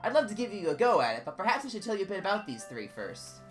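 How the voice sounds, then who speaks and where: A man voicing a fussy, excitable male scholar who speaks in polite, old-fashioned phrases and swings high with alarm. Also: fast talker, faint music behind. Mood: explaining.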